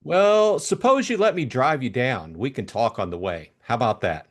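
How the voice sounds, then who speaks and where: Man playing a lively, lighthearted young gentleman. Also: mid-range voice, normal speed, natural voice. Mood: playful. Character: Jimmy, lighthearted young gentleman, teasing and incredulous. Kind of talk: audiobook character acting.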